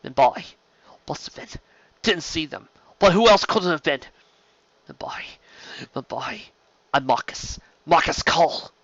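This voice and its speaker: A man voicing a grief-stricken English survivor in a story, with a stilted, halting, precise delivery. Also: fairly high pitch, expressive, fairly bright tone, dry recording, muffled recording, pitched above the performer's own voice. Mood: numb grief.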